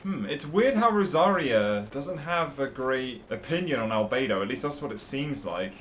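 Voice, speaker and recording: Expressive man doing casual game commentary. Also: mid-range voice, moderate pace, noisy background. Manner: expressive, musing aloud. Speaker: gaming video creator. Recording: game music behind the voice.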